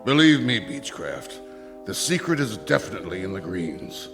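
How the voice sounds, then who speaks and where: A man's voice: low-pitched, very animated, moderate pace, fairly bright tone, soft background music, full character voice. An actor playing a hearty, condescending office boss in a radio drama, pompous and preachy as he lectures. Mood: hearty, lecturing. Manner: pompous, preachy, slyly probing.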